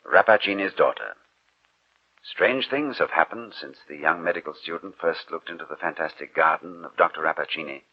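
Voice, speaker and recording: A man's voice: low-pitched, breathy edge. A man working as a radio announcer, reading scripted narration in 1940s style. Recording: muffled 1940s radio recording.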